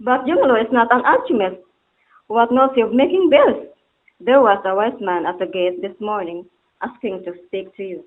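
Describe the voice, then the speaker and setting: A woman's voice: medium pitch, moderate pace, narrow, tinny audio, close to an everyday voice. A woman playing a loyal, worried servant who speaks formally and deferentially in a stage drama. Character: loyal, worried servant bearing messages to the master. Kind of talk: amateur stage-play dialogue, formal archaic English.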